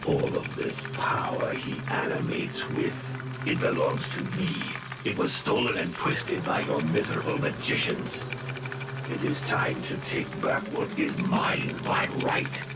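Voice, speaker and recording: A man voicing an ancient, proud and menacing demon spirit in a video game, with gravelly, archaic, imperious speech. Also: sits low, animated, unhurried, echoey room, far from the mic, over loud music, poor audio. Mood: menacing, possessive.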